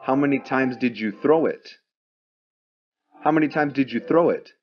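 A man teaching English, reading each drill sentence twice in a deliberate way. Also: medium pitch, highs cut off, a little hiss or hum. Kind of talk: slow, repeated drill sentences for learners.

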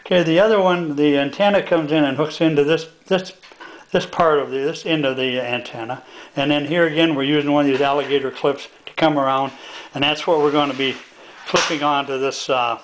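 An older American man with a slightly high voice walks through a homemade project. He is halting, folksy and plain-spoken, talking casually as he works indoors at a tabletop. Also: steady tone, rough recording. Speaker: hobbyist demonstrator. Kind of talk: casual hands-on demonstration. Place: indoors at a home workbench.

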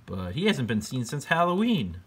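A man's voice: medium pitch, very animated, moderate pace, husky, voice pushed up. A man voicing a chatty male bat in a picture book.

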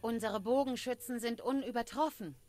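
A woman's voice: on the high side, a little mellow, slight background noise, natural voice. Woman playing a grave, proud forest dryad. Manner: measured, solemn, formal phrasing. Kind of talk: scripted game dialogue.

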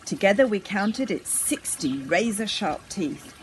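A woman's voice: mid-range voice, big pitch swings, a little slow, poor audio. A woman in her sixties reads a children's story with very varied intonation. She is outdoors, a little way from the camera, with faint trickling water and garden noise behind her. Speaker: storybook reader. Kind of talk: children's story read aloud.

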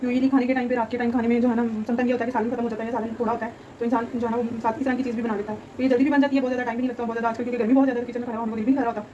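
A woman's voice: on the high side, little pitch movement, racing, pauses often, warm tone, dull audio, a little hiss or hum. A young woman hosting a home cooking channel, explaining a recipe step by step in a chatty, homely way with many fillers. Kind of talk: casual cooking tutorial voice-over. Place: home kitchen.

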